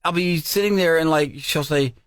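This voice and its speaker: A middle-aged man co-hosting a casual chat podcast, talking in an animated way and acting out quoted voices. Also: a little high, moderate pace, smooth, no echo, clean studio sound. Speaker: podcast co-host.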